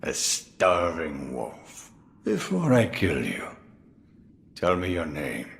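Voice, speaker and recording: A gruff, theatrical old swordsman. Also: deep voice, huge pitch swings, slow pace, full character voice. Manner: sly, relishing, sudden loud outbursts. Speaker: mentor recruiting the hero. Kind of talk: video game cutscene acting.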